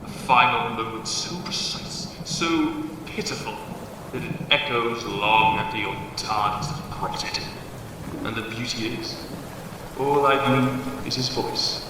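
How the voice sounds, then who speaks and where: An actor playing an ancient, menacing devil bound to a bridge, making pompous, theatrical declarations in an audio drama through heavy reverb. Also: male voice, mid-range voice, unhurried. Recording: distant, cavernous echo, noisy ambience.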